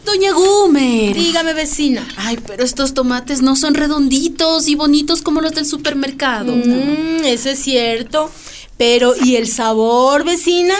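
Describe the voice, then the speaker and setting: A woman's voice: sits high, measured pace, slight background noise, pitched above the performer's own voice. A woman voicing a cheerful, gossipy neighbor lady in a radio drama, sing-song and exaggerated. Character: gossipy, cheerful neighborhood women chatting in their vegetable garden.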